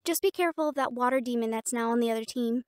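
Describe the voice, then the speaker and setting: A snobby catgirl cheerleader, voiced by a woman, sits high in her voice and talks down to everyone with a teasing edge. It sounds like a dry, close recording with no room around it. She stays bossy and catty throughout. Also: very fast, clear, resonant tone, no echo, lightly acted. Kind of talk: audio roleplay acting.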